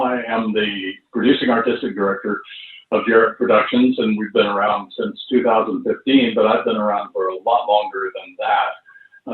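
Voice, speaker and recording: An elderly man speaking in a measured, halting way as a panel guest, over a roomy, narrow call connection. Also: lowish voice. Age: 70s or older. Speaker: actor and artistic director, panel guest.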